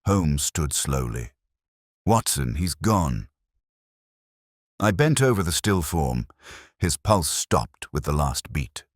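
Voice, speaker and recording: A man reading a dramatic mystery story in a solemn, measured, literary storytelling manner. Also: very deep voice, sing-song, no echo, clean studio sound. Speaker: audiobook narrator. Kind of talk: scripted dramatic mystery narration.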